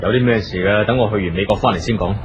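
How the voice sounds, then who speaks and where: A man's voice: fairly low pitch, rapid-fire, muffled recording, over music, lightly acted. A man with a Hong Kong Cantonese accent playing a stubborn, domineering eldest brother who runs the family company, curt and imperious, in a radio drama. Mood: stern, dismissive.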